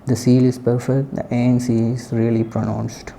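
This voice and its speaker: A man giving a casual spoken product review in halting short phrases with pauses. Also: medium pitch, flat delivery, gravelly, dark tone, slight background noise. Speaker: tech reviewer.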